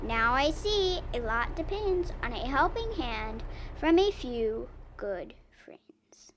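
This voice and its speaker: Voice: high voice, big pitch swings, takes it slowly, fairly bright tone, slight background noise, full character voice. A young reader voicing a big, self-important dump truck in a children's storybook. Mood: grateful.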